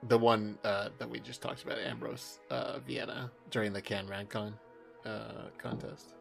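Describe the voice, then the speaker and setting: A man with a Californian American accent chatting casually as a podcast co-host, halting and often correcting himself as he goes. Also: on the low side, level tone, creaky, soft background music. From Southern California, USA.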